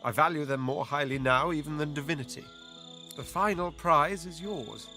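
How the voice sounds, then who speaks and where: A man playing a proud, eloquent imperial adventurer in a video game, speaking in ornate, measured, theatrical phrases with deliberate pauses. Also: fairly high pitch, sharp tone, soft background music. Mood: reflective, earnest. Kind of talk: dramatic video game character acting.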